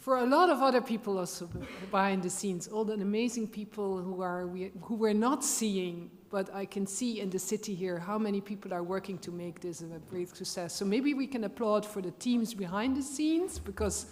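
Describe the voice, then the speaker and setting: A woman's voice: mid-range voice, normal speed, few pauses. Woman parliamentarian speaking in a big hall. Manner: warm, rallying, appreciative. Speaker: member of parliament. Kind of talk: panel question from the floor. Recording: reverberant conference hall.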